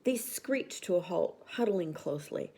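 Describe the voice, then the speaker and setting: A woman reading a children's bedtime story aloud with expressive narration. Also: on the low side, measured pace.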